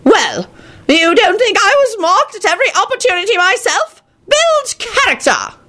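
A woman's voice: very high voice, big pitch swings, normal speed, pushed and bright, pitched above the performer's own voice, heavily acted. A woman voicing a haughty, scolding, very old male wizard grandfather in a storybook reading, with pompous old-fashioned phrasing. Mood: grumbling.

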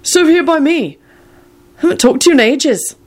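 A woman's voice: fairly low pitch, animated, fairly quick, a little mellow, slightly rough. A woman voicing an earnest, friendly young man, chatty and a little indignant, in a story.

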